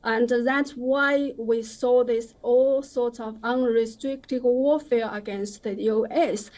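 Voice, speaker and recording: A woman giving opinionated commentary as a guest in a live news interview, with halting, hesitant phrasing. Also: on the high side, fairly even intonation, a little mellow.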